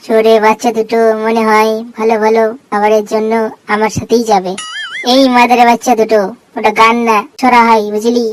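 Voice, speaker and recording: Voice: mid-range voice, completely flat delivery, a little fast, noisy background, natural voice. An actor voicing a hot-tempered, put-upon village husband in a comedy cartoon, scolding and slinging insults. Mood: grumbling.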